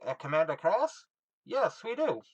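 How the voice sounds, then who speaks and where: An actor playing a clipped, matter-of-fact survey team radio operator in an audio drama. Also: male voice, high voice, big pitch swings, measured pace, no echo, full character voice. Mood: businesslike. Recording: dull lo-fi radio-link sound.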